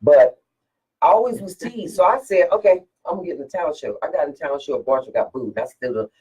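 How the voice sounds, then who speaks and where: A woman with a deep, strong voice, a singer from Philadelphia, telling stories in a casual radio interview with lots of restarts and repeated words. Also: lively intonation, fast talker, darkish tone, husky, distant mic, rough recording. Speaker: guest singer.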